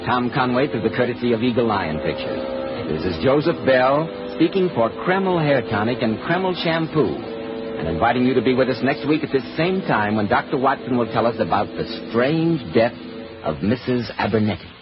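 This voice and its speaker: Animated vintage male radio announcer. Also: medium pitch, moderate pace. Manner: polished, emphatic, cheery. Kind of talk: 1940s radio announcing, scripted. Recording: muffled old radio recording with music.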